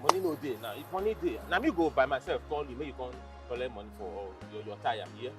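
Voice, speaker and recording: A man's voice: mid-range voice, animated, quick pace, lightly acted. A man playing a broke, defensive husband. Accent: Nigerian. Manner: defensive, pleading, speaks Pidgin. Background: music.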